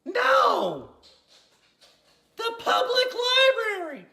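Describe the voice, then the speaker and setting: Squeaky, excitable little girl puppet. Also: very slow, gravelly, heavily acted. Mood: excited, giggly. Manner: gushing, repetitive, exclamatory. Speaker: puppet show host for kids. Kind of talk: kids' puppet show banter and jokes. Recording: roomy echo, faint music.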